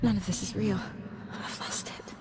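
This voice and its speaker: A woman's voice: medium pitch, fairly even intonation, moderate pace, gravelly, whispered, lightly acted. An actress playing an anguished, despairing woman investigator in a video game, blaming herself in short, weighted phrases. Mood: distraught. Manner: bitter self-blame in short, weighted phrases. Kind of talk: dramatic video game acting.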